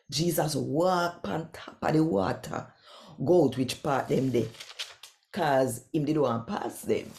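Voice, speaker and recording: A Jamaican woman hosting a channel and reading scripture aloud in a deliberate, expressive storytelling way. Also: low voice. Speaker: channel host and scripture reader. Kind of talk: devotional Bible reading in Patois.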